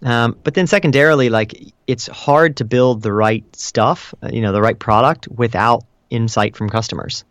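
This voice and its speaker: A man answering interview questions as a guest, explaining things in a measured, conversational way with casual hedges. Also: medium pitch, no echo, clean studio sound. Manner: explanatory, measured, hedging with "kind of" and "you know". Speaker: interview guest, customer support director.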